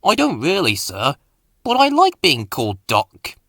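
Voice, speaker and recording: Male storybook engine acted by a man, courteous, self-assured, proud, bright and animated. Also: on the high side, unhurried, no room sound, clean studio sound, exaggerated voice. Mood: polite, modest. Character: polite, confident, proud male railway engine.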